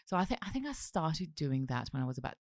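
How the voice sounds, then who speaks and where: A woman, chatting as an interview guest, animated and laughing often with many restarts. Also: low voice, fast talker, no echo. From Italy (Milan). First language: Italian. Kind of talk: casual interview chat.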